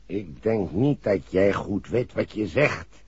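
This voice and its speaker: A man acting a gruff, menacing crook who pressures curtly, in a 1960s radio play. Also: fairly low pitch, lively intonation, takes it slowly, darkish tone, dry recording, heavily acted. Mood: impatient, threatening. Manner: curt, pressuring. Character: gruff, impatient, menacing American male crook. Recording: dull 1960s radio drama recording.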